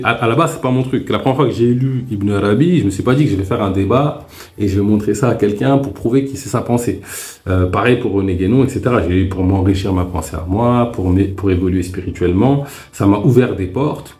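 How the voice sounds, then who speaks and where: A man's voice: on the low side, dark tone, echoey room. A man hosting a live stream, earnestly explaining his views in a casual spoken monologue.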